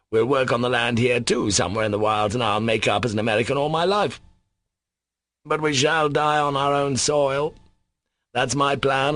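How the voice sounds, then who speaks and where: A man's voice: medium pitch, fairly bright tone, no echo, voice pushed up, close to an everyday voice. A man reading aloud as an anguished, passionate young Russian man who speaks in overwrought outbursts.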